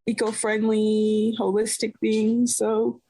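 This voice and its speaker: A woman's voice: fairly high pitch, completely flat delivery, slow, mellow, husky, dry recording, poor audio. Bay area lady chatting, rambly, lots of you-knows, dry close sound. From San Francisco Bay Area, USA. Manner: rambling, many fillers like "you know". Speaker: guest, cloth diaper brand owner. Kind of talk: casual interview chat.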